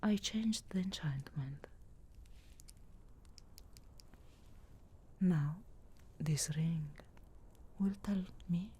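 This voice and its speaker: A woman voicing a sarcastic, guardedly caring witch of the wilds in a slow, breathy whisper for an ASMR fantasy roleplay. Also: lowish voice, warm tone, full character voice. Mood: guarded, wry. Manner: slow, measured, archly formal phrasing.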